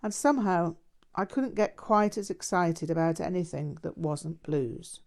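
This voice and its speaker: A woman reading a memoir aloud as scripted narration, in a measured, deliberate manner. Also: lowish voice, vocal fry, darkish tone. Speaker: audiobook reader.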